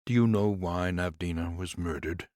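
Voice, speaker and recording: Narrator doing a calm low-voiced investigator guy, deep, slow and careful, audiobook. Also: no echo, studio quality, natural voice. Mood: measured. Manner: quiet, low and measured. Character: calm, watchful male investigator. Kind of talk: audiobook dialogue.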